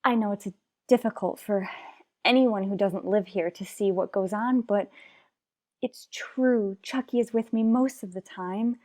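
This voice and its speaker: Actress playing an anguished wife and mother, mellow voice. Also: mid-range voice, lightly acted. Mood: troubled, pleading. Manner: earnest, measured, dramatic pauses. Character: anguished, unhappily married mother in a soap opera. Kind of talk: radio soap opera acting.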